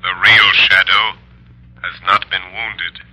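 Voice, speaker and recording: A menacing, mysterious crimefighter in an old radio drama. His voice is deep and very sharp, slow and commanding, with a faint mockery. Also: level tone, full character voice, rough recording. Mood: commanding, suspicious. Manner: deliberate, commanding, faintly mocking. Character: mysterious, menacing, self-assured male crimefighter. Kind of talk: 1930s radio drama acting.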